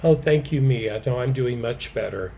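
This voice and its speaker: A man teaching a live quilting and fabric-painting demonstration, slightly hoarse, chatting with viewers by name and muttering softly to himself as he works. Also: mid-range voice, moderate pace, a little hiss or hum, low-quality recording. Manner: relaxed, talks softly to himself while he works; answers viewers' comments by name. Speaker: quilting educator.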